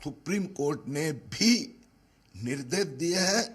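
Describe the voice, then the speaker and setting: An Indian man giving a halting spoken statement as a politician. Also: on the high side, animated.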